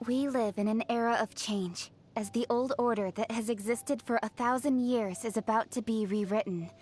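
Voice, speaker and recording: A woman voicing a confident, brisk, principled young female city official in a video game, articulate and businesslike. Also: a little high, steady tone, a little mellow, lightly acted. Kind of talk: scripted video game character voice lines.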